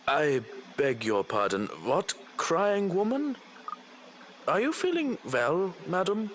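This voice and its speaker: A man's voice: high voice, very animated, takes it slowly, a bit bright, slight background noise, exaggerated voice. A man voicing a formal, condescending doctor who serves a mansion in a visual novel, speaking primly. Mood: stern, puzzled.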